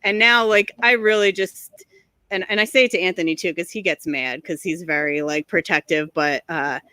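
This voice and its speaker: Bright, dry-voiced woman chatting and laughing. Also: fairly low pitch, moderate pace, clean tone, dry recording. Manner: laughs often, sarcastic, filler-heavy storytelling. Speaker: guest, motorcycle video creator. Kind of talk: casual livestream chat. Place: home garage.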